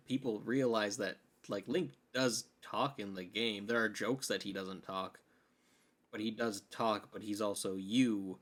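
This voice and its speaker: A man's voice: lowish voice, fairly even intonation, unhurried, fairly bright tone. A man co-hosting a podcast, talking casually and giving his opinions in a conversational way. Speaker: podcast co-host. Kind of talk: casual chat about games and films.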